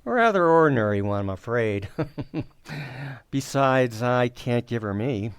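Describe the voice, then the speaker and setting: A man's voice: medium pitch, expressive, measured pace, clean tone, no room sound, close to an everyday voice. A man playing a bumbling, sheepish husband in an old-time radio comedy, hesitant and stammering, theatrically earnest.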